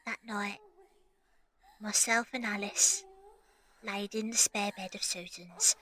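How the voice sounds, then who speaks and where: A woman's voice: mid-range voice, slow pace, pushed and bright. A woman playing a young working-class match girl and maid, cheeky yet unsure of herself, in an audio drama. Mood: reflective narration. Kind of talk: audio drama acting and narration.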